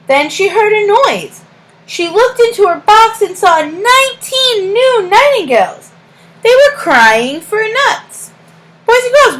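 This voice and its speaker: A woman with a high voice reads a picture book to young children like a teacher. Her pitch swings widely in a sing-song pattern, and she takes her time over each phrase. She often turns to the children with questions and encouragement. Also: a bit bright, low-quality recording. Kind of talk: read-aloud storytelling with questions to young children.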